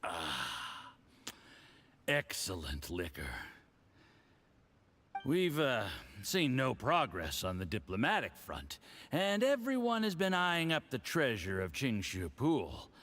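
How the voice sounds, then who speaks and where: An actor playing a smug, condescending, tipsy diplomat in a video game, speaking in a theatrical, patronizing way. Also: male voice, mid-range voice, huge pitch swings, fairly bright tone, slight background noise, heavily acted. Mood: tipsy, pleased. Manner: theatrical, patronizing, drawn-out delivery.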